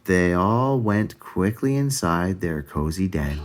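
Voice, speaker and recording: A man reading a children's storybook aloud as a storyteller, expressive and deliberate, with the odd stumble. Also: low-pitched.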